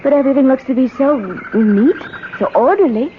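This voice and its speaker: A woman's voice: high voice, animated, brisk. An actress in a radio drama playing a spirited, outspoken, self-assured woman on a jungle expedition, with theatrical delivery. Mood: polite, surprised. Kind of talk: 1970s American radio drama acting. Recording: muffled, noisy old radio broadcast.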